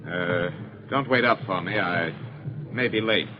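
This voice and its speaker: A man's voice: low-pitched, measured pace, music in the background. A man playing a bitter, bored husband, curt, irritable and contemptuous, in old radio drama style. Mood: curt, dismissive. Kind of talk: 1930s radio drama acting. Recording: muffled old radio recording, heavy noise.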